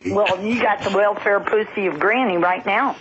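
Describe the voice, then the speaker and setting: A woman's voice: mid-range voice, normal speed. An older woman working a phone line, doing bawdy role-play over a telephone. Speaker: phone sex line operator. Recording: muffled noisy phone line.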